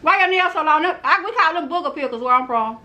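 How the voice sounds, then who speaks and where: A woman's voice: high voice, expressive, fast, sharp tone. A woman from Texas hosting a prank video, trash-talking strangers playfully over a phone video chat. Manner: teasing, playful trash talk. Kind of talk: casual prank chat on a video call app.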